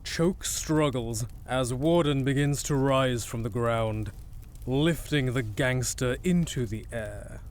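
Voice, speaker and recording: A man's voice: mid-range voice, slow pace, background noise, lightly acted. A man reading dramatic scripted narration for an audio drama as its narrator.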